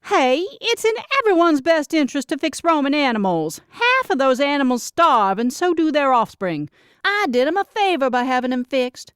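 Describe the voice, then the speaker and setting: A woman's voice: sits high, very animated, normal speed, a bit bright, no echo, clean studio sound, pitched above the performer's own voice. A woman voicing a bossy, teasing younger sister and busy mom in an audiobook, emphatic and argumentative. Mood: insistent. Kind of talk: audiobook character dialogue.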